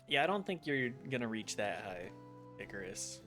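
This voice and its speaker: Male streamer, casual and reflective, animated pitch, faint game music. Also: normal speed. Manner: reflective, talks to chat while playing. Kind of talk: casual gameplay stream chat. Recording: game music playing behind.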